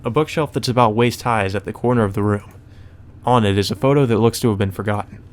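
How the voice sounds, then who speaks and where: Low-voiced man reading a visual novel. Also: quick pace. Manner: slips into character dialogue, ad-libbed asides. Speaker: let's player. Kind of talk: reading visual novel aloud with casual commentary. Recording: music behind the voice. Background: game music.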